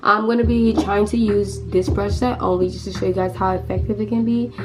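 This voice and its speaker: A teenage girl with a hoarse voice from losing it, chatting casually through a makeup tutorial with many fillers and restarts. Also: medium pitch, steady tone, brisk, music in the background. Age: teens. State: hoarse, lost voice. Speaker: beauty vlogger.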